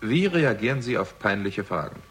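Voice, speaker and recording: A man's voice: on the low side, animated, normal speed, slightly rough. A man playing a dry, probing investigator in a German radio crime drama, speaking in a laconic, understated way. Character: dry, probing male theatrical agent turned investigator. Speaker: lead actor and narrator.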